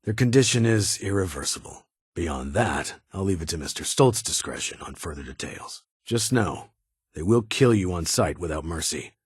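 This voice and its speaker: Gruff vault security boss for a game, very husky lowish voice, brusque, bossy military type. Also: male voice, animated, normal speed, no room sound, clean studio sound, full character voice. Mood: grave, warning. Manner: clipped, authoritative, brusque. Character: stern, guarded male military security chief of a vault. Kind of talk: video game character dialogue.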